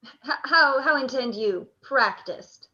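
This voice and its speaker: Woman as proud noble general in a radio play, high bright voice, formal shakespeare talk, bit lo-fi. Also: animated, slow, heavily acted. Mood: defensive, firm. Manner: formal, declamatory. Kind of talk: live radio play acting in Shakespearean verse.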